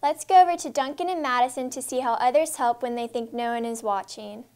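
High-pitched teen girl anchor, upbeat, reads from script. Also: a little fast, darkish tone, clear, resonant tone. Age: teens. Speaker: student news anchor. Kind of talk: scripted news reading. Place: school studio set.

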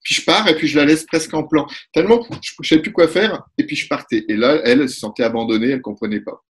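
Husky man explaining on a video call. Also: on the high side. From Italy. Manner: animated, gestures a lot, acts out quick exchanges. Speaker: couples therapist. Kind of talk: explanatory webinar talk. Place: room at home. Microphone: webcam on a video call.

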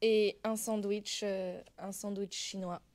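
A woman's voice: medium pitch, flat delivery, slow, darkish tone, a little hiss or hum. A young woman in her twenties, a skier chatting casually to an action camera, nervous and giggly. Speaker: freestyle skier vlogging. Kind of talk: casual vlog chat. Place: outdoors, walking around a city and ski venue. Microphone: action camera held at arm's length.

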